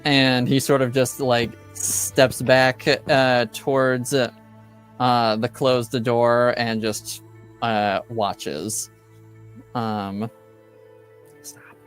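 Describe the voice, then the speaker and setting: A man narrating an improvised tabletop game as game master, halting and tentative as he feels for words. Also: mid-range voice, level tone, clean tone, faint music behind.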